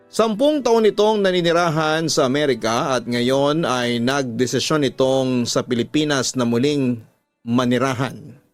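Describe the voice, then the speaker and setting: Filipino guy reading out a love story letter radio drama style, dry sound, soft music behind. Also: medium pitch, no room sound.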